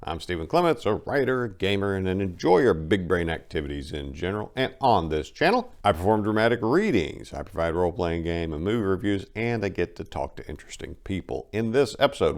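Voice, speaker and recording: A man speaking as host and dramatic reader, with theatrical, emphatic phrasing. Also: low-pitched. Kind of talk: dramatic audiobook reading.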